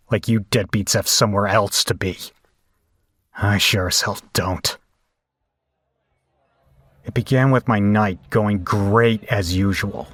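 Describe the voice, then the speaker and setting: An actor playing a cynical biker who narrates an audio drama in a wry, bitter, self-mocking way. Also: male voice, on the low side, a bit bright. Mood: bitter sardonic. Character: cynical, bitter biker man telling his story.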